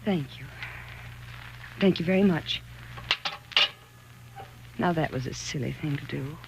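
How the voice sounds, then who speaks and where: An actress in a 1940s radio thriller playing a frightened, anxious wife, with a dramatic, halting delivery. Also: female voice, deep voice, gravelly, muffled recording. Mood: nervous, then troubled. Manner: dramatic, halting and stammering when afraid. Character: frightened, anxious wife narrating her growing dread. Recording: noisy old 1940s radio recording.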